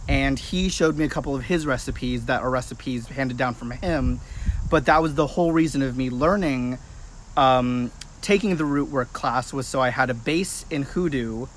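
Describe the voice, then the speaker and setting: A man's voice: mid-range voice, fairly bright tone, slight background noise. A man in his late twenties chatting casually and informally to viewers on a livestream. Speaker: livestream host, practitioner of folk magic.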